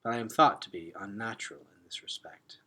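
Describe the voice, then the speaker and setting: A man voicing a solemn, guarded young warrior girl in a storybook, in formal, measured words. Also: lowish voice, bright, forceful tone. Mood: quiet, solemn. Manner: formal, measured speech without contractions.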